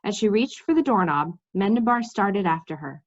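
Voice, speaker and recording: A woman reading a children's fantasy story aloud in an expressive, informal way. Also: medium pitch, moderate pace. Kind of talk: story read-aloud with asides to listeners. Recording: slightly dull, lo-fi sound.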